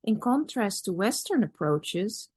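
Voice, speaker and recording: A woman whose first language is Dutch, giving a measured, reflective academic talk at a conference with pauses and restarts. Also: medium pitch, very animated, a little mellow, husky, no echo, clean studio sound. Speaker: conference speaker.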